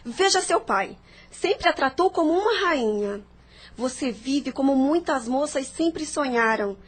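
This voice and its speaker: A woman's voice: high voice, lively intonation, measured pace. A Brazilian woman playing an affectionate, teasing and reassuring young society lady in an audio drama.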